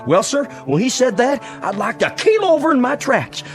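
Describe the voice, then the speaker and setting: An actor playing a chatty, nervous Old West bartender with a folksy American drawl in an audio drama. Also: male voice, extremely high pitch, sing-song, normal speed, soft background music, heavily acted. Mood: eager. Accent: American Western drawl. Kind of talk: audio drama comic Western acting.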